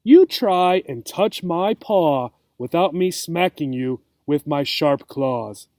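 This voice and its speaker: Man doing a shrill, bossy, teasing cartoonish cat. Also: expressive, slow, darkish tone, no room sound, clean studio sound. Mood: sly. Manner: impatient, taunting, giggly. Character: gleeful, teasing, bossy female house cat.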